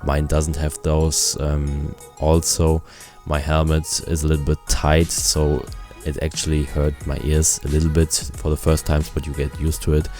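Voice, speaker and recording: A man giving a casual product review, explaining things in simple, halting phrases. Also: rumbling low, monotone, warm tone, faint music behind.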